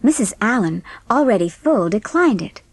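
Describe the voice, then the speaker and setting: A woman's voice: medium pitch, animated, normal speed, dry recording. A woman reading scripted storybook narration as the narrator of a dramatised audiobook.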